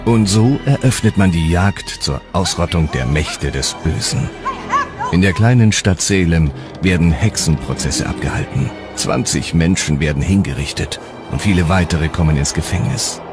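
A man narrating a historical documentary from a script in a measured, serious way. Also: low voice, expressive. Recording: music underneath.

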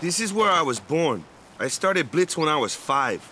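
A man's voice: a little high, sing-song, moderate pace, bright, forceful tone, a little hiss or hum. An actor playing a friendly, laid-back island ballplayer in his twenties in a video game, talking in casual slang with tag questions. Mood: wistful, reflective. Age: 20s. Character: easygoing, friendly 23-year-old male island ballplayer.

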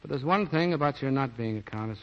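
A man plays a plain-spoken tough cop with a soft heart in a 1940s radio drama. His talk is blunt and slangy, and the broadcast sounds dull and muffled. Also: medium pitch, lively intonation, fast, close to an everyday voice. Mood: blunt, probing.

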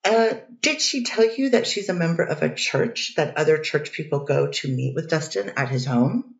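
A woman's voice: sits low, moderate pace, creaky. A woman reads as a poised defense attorney working through a cross-examination one careful question at a time. The voice sits far from the mic in a roomy, echoing space. The recording sounds dull and muffled. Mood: steady. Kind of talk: readers theater courtroom reading. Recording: dull distant echoey recording.

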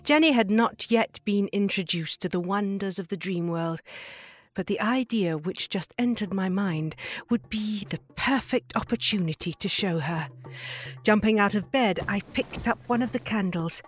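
A woman playing a tender, dignified non-human lady who narrates her memories in a measured, literary way in an audio drama. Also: medium pitch, lightly acted. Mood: fond, unhurried.

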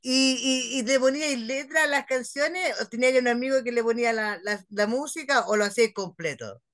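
A woman's voice: a little high, normal speed, fairly bright tone. A woman in her forties with a Chilean accent, interviewing casually over a video call from home. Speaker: interviewer, host. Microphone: computer mic on a video call.

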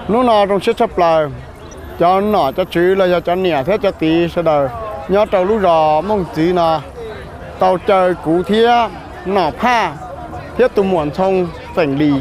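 A young man from Thailand, an actor, answering interview questions outdoors at a festival, with hesitant speech and many fillers. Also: high voice. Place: outdoor festival grounds with a large crowd. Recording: loud crowd noise behind.

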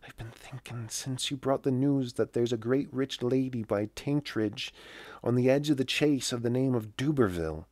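A man's voice: mid-range voice, moderate pace, a little mellow, no room sound, pitched above the performer's own voice, natural voice. A cheerful rural wife in a classic novel, acted by a male reader. The voice is lifted above the narration and speaks eager, scheming dialect. The sound is close and dry.

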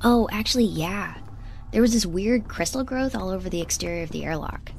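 A woman's voice: medium pitch, lively intonation, brisk, darkish tone. Earnest, awkward young researcher woman. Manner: earnest, literal-minded, hesitant. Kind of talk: audio drama acting, conversational. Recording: clear ambient noise behind.

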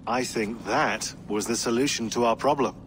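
An actor playing a talkative, sardonic tech expert in a video game. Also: male voice, mid-range voice, moderate pace, a little hiss or hum, natural voice. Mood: wry. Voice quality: sardonic, animated.

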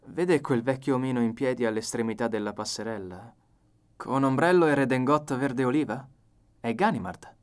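A young gentleman thief from an audiobook story, acted out by a man. He is suave, evasive and sure of himself, with a medium-pitched voice. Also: moderate pace, clear, resonant tone, natural voice. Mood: gallant.

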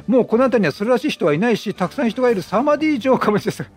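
An eager young circus rookie from a video game, voiced by a man reading aloud. He is earnest and deferential, high-voiced and lively in intonation. Faint music sits behind. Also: racing, husky, full character voice. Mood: grateful, eager. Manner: earnest, deferential underling talk. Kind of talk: game dialogue read aloud by a player.

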